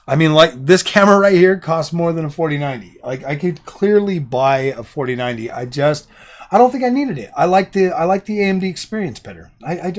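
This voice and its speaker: Hoarse male streamer, rambling chat. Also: fairly high pitch. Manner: rambling and indecisive, repeats himself. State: hoarse, losing his voice. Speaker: livestream host. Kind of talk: casual live stream chat with viewers. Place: home gaming room.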